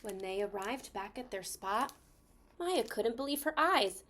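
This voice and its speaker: A woman reading a children's story aloud expressively, stressing key words with deliberate phrasing. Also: a little high, animated, fairly bright tone, slight background noise.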